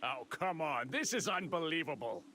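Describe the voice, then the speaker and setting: A man's voice: a little high, expressive, normal speed, slight background noise. A man playing an arrogant, menacing villain in an anime fighting game, sneering and self-assured. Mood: cocky, menacing. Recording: loud music behind the voice.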